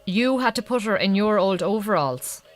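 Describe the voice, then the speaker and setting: Actress as prickly, animated Irish farm wife. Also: female voice, medium pitch, normal speed, faint music behind, close to an everyday voice. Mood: suspicious, hurt. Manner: sharp, sarcastic, accusing. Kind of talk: naturalistic radio drama acting.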